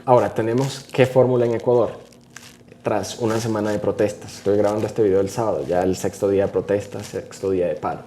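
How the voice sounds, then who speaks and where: A man speaks straight to camera in emphatic, opinionated commentary. His voice sits on the low side and sounds warm and mellow, with a faint hiss behind it. He rushes some phrases and drags others out for weight. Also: fairly even intonation. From Venezuela. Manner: emphatic, opinionated, varying pace. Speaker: vlogger.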